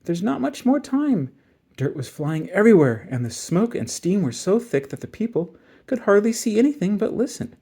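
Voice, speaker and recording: A man reading a children's story aloud in an expressive, dramatic and emphatic way. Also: high-pitched, fairly quick, breathy edge. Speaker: storybook reader.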